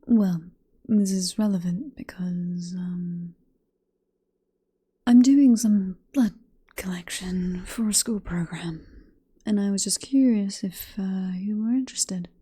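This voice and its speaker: A British woman voicing a possessive, teasing vampire professor in an ASMR roleplay, in a soft, breathy whisper with a coaxing, unhurried manner. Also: mid-range voice. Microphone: close ASMR microphone.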